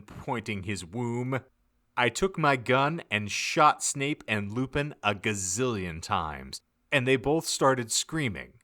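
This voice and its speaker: A man with a fairly low, forceful voice narrates a comic audiobook. His reading is theatrical and mock-serious, with lively swings in pitch. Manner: theatrical, deliberate emphasis. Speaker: podcast narrator.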